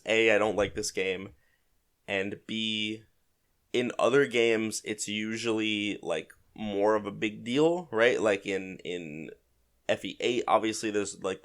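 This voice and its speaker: A man with a slightly congested voice from a mild head cold, hosting a casual solo gaming podcast in a conversational, hedging way, thinking aloud. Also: lowish voice. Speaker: podcast host. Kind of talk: casual solo podcast commentary on a video game.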